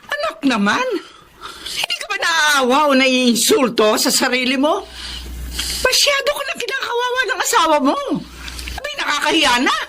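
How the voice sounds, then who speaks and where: High, swooping indignant mother. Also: big pitch swings, moderate pace, noisy background. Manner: scolding, exasperated. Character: indignant, protective mother of a grown daughter. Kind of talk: Filipino melodrama acting.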